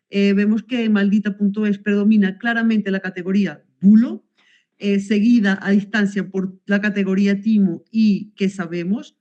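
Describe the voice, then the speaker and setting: A woman's voice: medium pitch, mellow. Hesitant woman on a dull call. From Venezuela. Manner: hesitant, many fillers and self-corrections. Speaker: researcher presenting results. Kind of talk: academic conference presentation.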